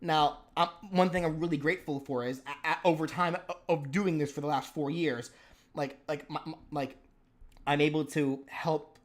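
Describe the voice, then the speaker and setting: A man's voice: on the high side, fairly even intonation, normal speed. A man hosting a casual live stream, talking with a stutter, repeating words and restarting his sentences. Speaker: stream host and moveset creator.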